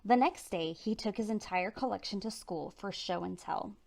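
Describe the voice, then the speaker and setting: A woman reading a children's picture book aloud as storytime narrator, with animated, dramatic emphasis. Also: medium pitch, normal speed, gravelly. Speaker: storytime reader.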